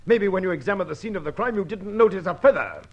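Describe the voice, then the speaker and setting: Irascible, high-pitched British police chief. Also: male voice, expressive, fast talker. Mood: sarcastic, irritated. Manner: blustering, sarcastic. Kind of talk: old-time radio detective acting. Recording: muffled, noisy old radio recording.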